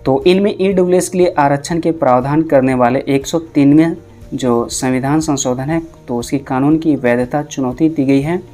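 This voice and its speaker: A man's voice: fairly high pitch, a little mellow. A man with an Indian accent narrating news updates as a channel host, repeating and rephrasing points to explain them.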